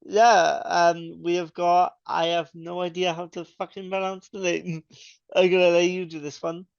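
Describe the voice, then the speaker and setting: A man's voice: high-pitched, level tone, a little slow, vocal fry, clear, resonant tone, dry recording, dull audio. A young man co-hosting a podcast in casual chat, rambling with many fillers and restarts. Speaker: podcast co-host.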